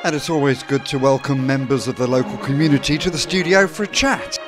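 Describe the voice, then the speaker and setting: A man in his sixties presenting a local radio show from a small studio, chatty and jokey, with a clear broadcast delivery. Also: mid-range voice, quick pace, over music. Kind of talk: radio show chat and behind-the-scenes tour. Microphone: studio microphone, headphones on.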